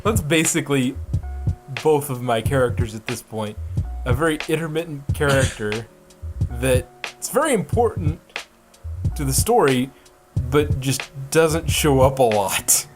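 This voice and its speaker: A man chatting casually as a podcast guest, talking in a rambling, halting way and often repeating words. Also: medium pitch, animated. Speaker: guest voice actor on a fan podcast. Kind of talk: casual group chat. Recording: music playing under the voice.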